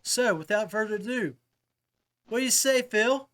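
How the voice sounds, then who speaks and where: A man's voice: sits high, moderate pace, a bit bright. A middle-aged man hosting a podcast interview in a chummy, folksy, appreciative way, chatting casually with his guests. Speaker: podcast host.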